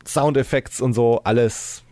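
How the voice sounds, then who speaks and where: A man's voice: mid-range voice, steady tone, measured pace, dark tone, no echo. A man chatting casually as a podcast host. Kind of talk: casual discussion.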